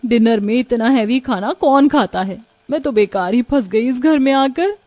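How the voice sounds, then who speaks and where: A woman's voice: on the high side, moderate pace, a little mellow, no room sound, lightly acted. A woman voicing an overworked young new bride who grumbles plaintively in a cartoon story. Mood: weary, complaining. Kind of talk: animated moral story dialogue.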